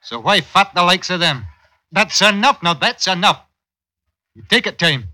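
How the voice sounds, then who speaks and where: An actor playing a stingy, callous jailer who bosses people about in folksy dialect, in old-time radio drama style. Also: male voice, high-pitched, expressive, moderate pace, pushed and bright, exaggerated voice. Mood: gruff, ordering. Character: stingy, callous jailer bossing his wife.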